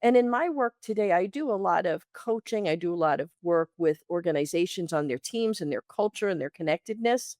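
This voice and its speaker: A woman from the Bronx speaks as a coach being interviewed, in a mid-pitched voice with a very dry sound. Her talk is conversational, and she slips into imagined quotes to make her points. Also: darkish tone, no echo. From the Bronx, New York, United States. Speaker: interview guest, leadership coach.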